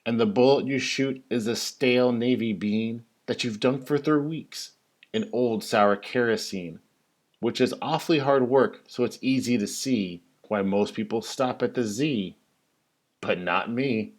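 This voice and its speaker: A man's voice: mid-range voice, a little slow. Man reading a rhyming storybook expressively. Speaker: teacher reading a children's story. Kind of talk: animated storybook read-aloud of rhyming verse.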